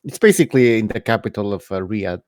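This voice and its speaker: A man's voice: lowish voice, lively intonation, quick pace, dry recording. A man co-hosting a casual podcast, talking hesitantly with many "uh" and "like" fillers. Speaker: podcast co-host. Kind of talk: casual talk show chat.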